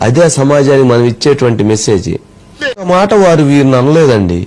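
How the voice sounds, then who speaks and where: A man giving political commentary, phrasing things deliberately and emphatically with repeated rhetorical questions. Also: medium pitch, animated, a little mellow. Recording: clearly noisy background with clicks.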